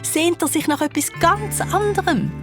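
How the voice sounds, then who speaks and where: A woman's voice: very high-pitched, normal speed, music in the background. A woman playing a wry, playful narrator, telling a scripted children's detective story in German. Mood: wry, dramatic.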